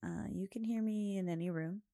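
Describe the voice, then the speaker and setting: A woman hosting her own podcast, chatting casually in a loud, carrying voice, rambling with many fillers and frequent laughs. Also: medium pitch, fairly even intonation, fairly quick, gravelly, dry recording, clean studio sound. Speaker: podcast host. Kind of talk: casual chat.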